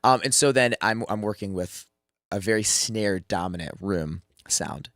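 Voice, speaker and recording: A man's voice: fairly low pitch, unhurried, a bit bright, no room sound, studio quality. A man who is sick and losing his voice, casually walking through a drum mixing tutorial with lots of fillers and restarts. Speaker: music producer teaching drum mixing. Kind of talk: screen-share mixing tutorial.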